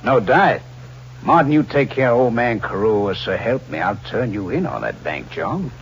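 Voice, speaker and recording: An actor playing a sly, needling, falsely friendly crook who schemes murder, in 1940s radio style. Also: male voice, mid-range voice, big pitch swings, normal speed, slightly rough. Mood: coldly menacing. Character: sly, fat scheming crook plotting his uncle's murder. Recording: dull, hissy old radio recording.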